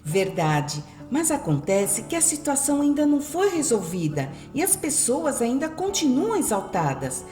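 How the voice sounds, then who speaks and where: A Brazilian woman acting a devoted, concerned spirit in a radio drama, earnest and expressive. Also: high-pitched, moderate pace, few pauses. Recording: echoey, music behind.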